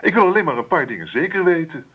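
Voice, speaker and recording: An actor playing an indignant, loyal grown son of a farming family in a radio drama, earnest and insistent. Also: male voice, on the high side, very animated, quick pace, husky. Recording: muffled band-limited radio recording.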